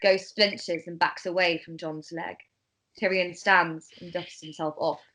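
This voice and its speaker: A woman's voice: lowish voice, steady tone, a little slow, bright, forceful tone, low-quality recording. A woman reading scripted narration and stage directions in an amateur table read.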